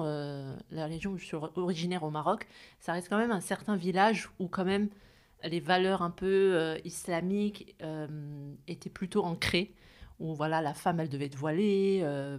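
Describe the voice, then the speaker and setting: A woman in her thirties from France, talking as a podcast guest, often pausing with fillers. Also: on the low side, a little mellow. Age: 30s. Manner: hesitant, many "euh" fillers, laughs easily. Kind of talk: casual interview chat.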